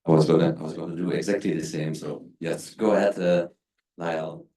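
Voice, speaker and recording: Low-voiced man moderating a panel. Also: fairly even intonation, dark tone. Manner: halting, many fillers. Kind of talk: panel discussion. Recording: echoey room.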